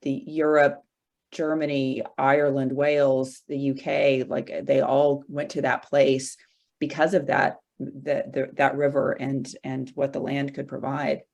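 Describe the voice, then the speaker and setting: A woman in her forties from Arkansas, a guest in an interview, talking slowly with many fillers and restarts. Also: a bass voice, flat delivery, vocal fry, a little mellow, muffled recording. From Arkansas, USA. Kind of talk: casual interview conversation.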